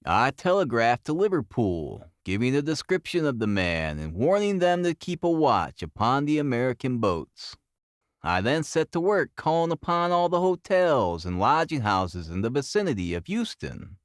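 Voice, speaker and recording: A man voicing an earnest, methodical Victorian police detective in an audiobook, giving his report in formal, deliberate storytelling. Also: medium pitch, no echo, clean studio sound, close to an everyday voice.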